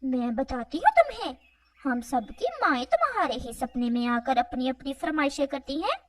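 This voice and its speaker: High woman playing a female cartoon eagle, emphatic, stern big-sister type, lively pitch, dry sound. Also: normal speed, no room sound, distant mic. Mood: stern, mocking. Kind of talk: animated children's moral story dialogue.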